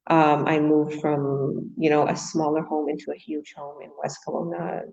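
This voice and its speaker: A woman's voice: low voice, flat delivery, normal speed, creaky, a little mellow. A Canadian woman hosting a casual live stream, chatting enthusiastically and laughing often. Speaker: host. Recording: dull lo-fi stream audio.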